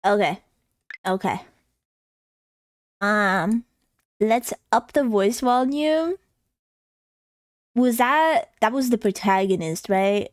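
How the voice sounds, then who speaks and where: High-voiced woman chatting on a stream. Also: expressive, slow, long pauses, dry recording, clean studio sound. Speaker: live streamer. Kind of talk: casual livestream chat.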